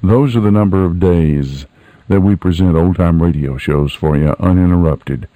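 Deep, mellow older male radio host. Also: moderate pace, no room sound, highs cut off. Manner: folksy, rambling, hesitant. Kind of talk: casual unscripted radio chat.

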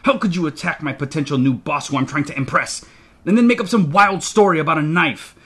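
A man's voice: on the low side, animated, unhurried. An angry father played by a male narrator lays down the law to his child in an audiobook. He is stern and mortified, seething through clenched teeth and leaning hard on his words. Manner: seething, emphatic, hissing through gritted teeth. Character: stern, enraged, embarrassed father scolding his daughter. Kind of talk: audiobook character dialogue.

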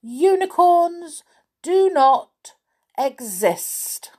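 A woman voicing a stubborn, huffy little lady from a children's storybook, snappish and flatly contradicting. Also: mid-range voice, takes it slowly. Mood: huffy, dismissive.

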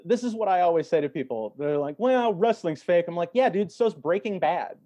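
A man's voice: high-pitched, animated, a little fast, warm tone, muffled recording. A man talking casually as a podcast guest, explaining things in a thoughtful, conversational way.

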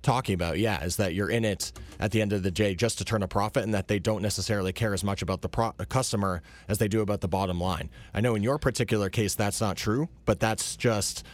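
A man co-hosting a radio talk show, explaining his points at length in a measured way. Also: on the low side, no echo. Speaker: radio co-host.

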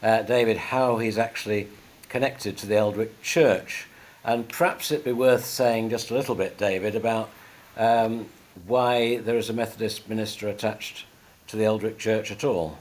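A man acting as interviewer in an informal church conversation, explaining things in a slightly halting way. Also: fairly low pitch, steady tone.

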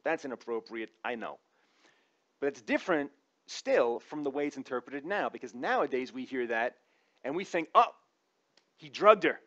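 A man's voice: mid-range voice, expressive, normal speed, pauses often, fairly bright tone, highs cut off. A man giving a conversational comic opinion monologue in an informal, wry, sometimes profane way. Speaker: comedic commentator.

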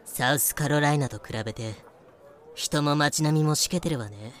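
A man's voice: on the high side, moderate pace, lightly acted. A man voicing a bold, easygoing, scheming female pirate captain with breezy, self-assured feminine phrasing in a fan audio drama.

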